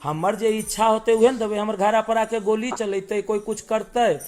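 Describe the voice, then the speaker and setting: A man's voice: high-pitched, fairly even intonation, normal speed, darkish tone. A man with a Bihari accent in a recorded phone call, arguing in an insistent, aggrieved way. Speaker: caller in a leaked phone call. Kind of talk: heated phone argument in dialect.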